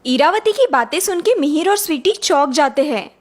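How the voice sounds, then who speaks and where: A woman's voice: sits high, animated, normal speed, slight background noise. A woman reading scripted narration for a cartoon family story.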